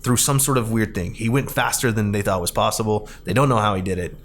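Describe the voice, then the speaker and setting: A man's voice: lowish voice, fast. A man hosting a podcast in a casual, rambling, conversational style, as he recaps a film. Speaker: podcast host.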